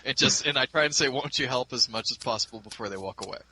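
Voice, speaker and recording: A man's voice: medium pitch, fast, bright, forceful tone, rough recording. A man chatting casually on a fan podcast, rambling with frequent restarts and laughs. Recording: faint background noise.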